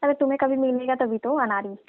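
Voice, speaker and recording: A woman plays a coaxing village aunt in a comic phone-call skit. Her voice is on the high side, colloquial and teasing, and pushy in her flirting. The sound is thin and dry, like a recorded phone call. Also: quick pace, no echo, natural voice. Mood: flirtatious, coaxing.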